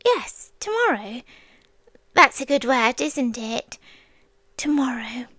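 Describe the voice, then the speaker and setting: A British woman playing a possessive, obsessive female demon in an ASMR roleplay, soft and breathy, often whispering, coaxing with tender menace. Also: high-pitched, big pitch swings, dry recording, exaggerated voice. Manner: slow, coaxing, sweetly menacing with long pauses.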